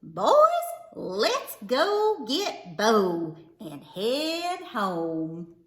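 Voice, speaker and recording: A woman voicing a loving, proud mother armadillo from a storybook, in a motherly, drawn-out way. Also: a little high, wildly swooping pitch, reverberant, full character voice. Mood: gently firm.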